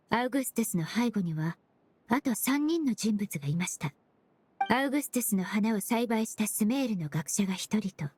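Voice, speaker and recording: A woman's voice: medium pitch, a little mellow, husky, dry recording. An actress in a Japanese game dub playing a composed, analytical young woman investigator who speaks politely and methodically.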